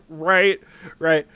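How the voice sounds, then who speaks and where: Male livestream host, higher-pitched, dry close sound; casual, sarcastic, sweary and animated. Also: smooth, no room sound. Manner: acts out mocking quotes. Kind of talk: casual rambling livestream chat.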